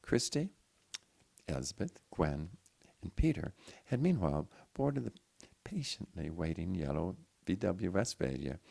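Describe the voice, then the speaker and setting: Deep, creaky-voiced male storyteller. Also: very animated, unhurried, warm tone, no room sound. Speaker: audiobook reader. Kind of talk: dramatic literary story narration.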